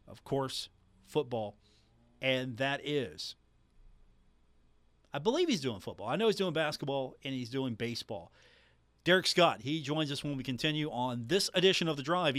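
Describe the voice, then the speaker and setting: A man's voice: fairly high pitch, a bit bright, no room sound. A man from West Virginia hosting a sports talk radio show in a conversational monologue, repeating phrases and putting questions to listeners. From Huntington, West Virginia, United States.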